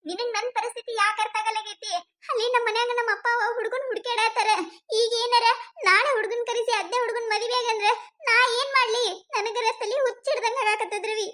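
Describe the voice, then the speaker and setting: A woman's voice: very high-pitched, fairly even intonation, brisk, bright, forceful tone. A woman with a North Karnataka Kannada accent acting as a distressed young college girl pleading with her boyfriend. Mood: exasperated. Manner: pleading, breaks into teary laughter. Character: young woman college student, anguished, torn over marriage. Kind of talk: amateur skit acting.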